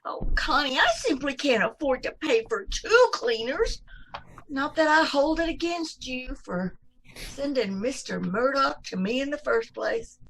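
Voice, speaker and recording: A woman playing a fretful, gently reproachful landlady in an old-time radio sitcom, fussy and plaintive. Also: sits high, animated, unhurried, vocal fry, full character voice. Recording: noisy video-call recording with clicks.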